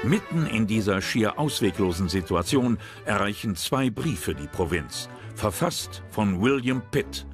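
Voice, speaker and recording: A man narrates a documentary in a fairly low voice. His delivery is scripted storytelling, with clear music running underneath. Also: lively intonation, measured pace, few pauses. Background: background music.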